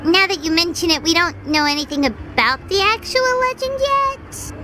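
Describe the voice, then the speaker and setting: A woman voicing a tiny, excitable, childlike fairy companion in a video game, with a squeaky, perky delivery. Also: moderate pace, very noisy. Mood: curious. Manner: perky, exclaiming, speaks of self in third person. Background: music.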